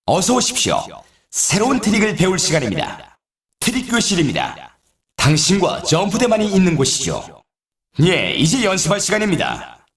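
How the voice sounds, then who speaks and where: A man's voice: high voice, wildly swooping pitch, normal speed, fairly bright tone, exaggerated voice. A man playing an upbeat, showy sports announcer in a video game, with polished, scripted commentary delivery. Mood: instructive, encouraging. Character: male snowboard race announcer, upbeat and showy. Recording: echoey, distant-sounding game audio.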